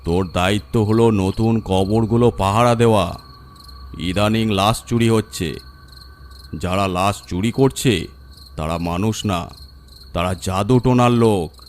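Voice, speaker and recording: A man's voice: on the low side, unhurried, long pauses, slight background noise, close to an everyday voice. A man voicing an ominous, grave village elder in a horror story. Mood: stern warning. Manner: grave, foreboding.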